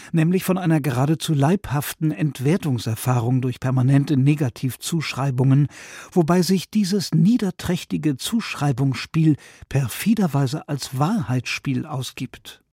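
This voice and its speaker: Husky man reading quotes aloud. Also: fairly high pitch, measured pace, warm tone, no room sound, clean studio sound. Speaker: radio reader voicing quoted book passages. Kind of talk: dramatic reading of quotations.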